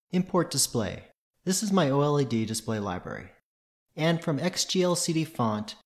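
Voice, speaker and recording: A man presenting a tutorial, reading scripted technical narration in a methodical, matter-of-fact way. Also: mid-range voice.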